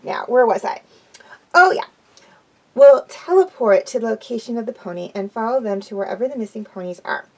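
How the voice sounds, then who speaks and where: A woman's voice: a little high, animated, brisk. A woman voicing a brash, boastful female pegasus pony from a storybook. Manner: bossy, boastful.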